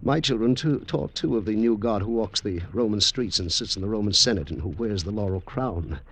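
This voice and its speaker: An actor playing a troubled, pondering ancient Roman in a 1950s radio drama, with stagey, deliberate archaic phrasing. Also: male voice, lowish voice, husky. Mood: earnest, persuading.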